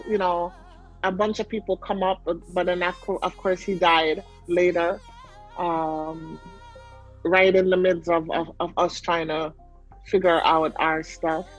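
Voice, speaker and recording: A woman's voice: on the low side, rough recording. A young adult woman with a light Jamaican accent, telling her story as an interview guest in a chatty, rambling way full of fillers.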